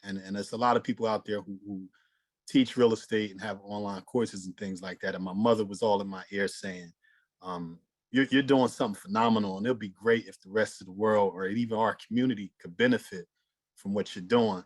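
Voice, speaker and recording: A man's voice: fairly low pitch, fairly even intonation, a little fast, no echo. A man speaking as a guest, earnest and grateful, stammering now and then. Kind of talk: casual conversation.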